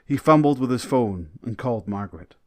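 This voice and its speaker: A man's voice: mid-range voice, lively intonation, brisk, darkish tone, no room sound. A man reading scripted dramatic narration for an audio drama.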